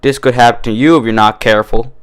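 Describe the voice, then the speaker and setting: A man's voice: mid-range voice, fairly even intonation, fast, smooth, lightly acted. A man voicing a stubborn, teasing country mare farmer with an American Southern drawl, blunt and folksy, in a cartoon fan-fiction reading. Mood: wry.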